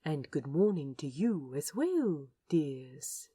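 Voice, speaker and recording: A woman voicing a gentle, fussy male aquarium guide in an audio story, polite, proper and earnest. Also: deep voice, sing-song, slow pace, roomy sound, full character voice. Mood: tender, earnest. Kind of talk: character acting for narrated audio fiction.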